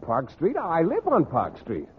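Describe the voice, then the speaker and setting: A man's voice: fairly high pitch, wildly swooping pitch, a little slow. A man playing a shy, earnest biology teacher in a vintage radio sitcom, speaking hesitantly with stammers. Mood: mild, puzzled. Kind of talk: 1940s-50s radio sitcom acting. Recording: very noisy, tinny vintage radio recording.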